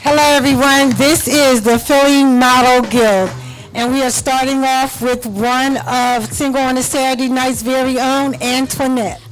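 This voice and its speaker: A woman giving measured live commentary as a fashion show announcer at a bar event. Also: high-pitched, steady tone, low-quality recording. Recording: faint music behind the voice.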